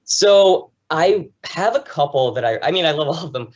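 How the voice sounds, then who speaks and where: An American man from New Jersey talking as an interview guest in an informal, animated, explanatory way. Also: fairly high pitch, normal speed, muffled recording. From New Jersey, United States. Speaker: podcast guest, travel show host. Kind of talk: conversational interview, enthusiastic storytelling.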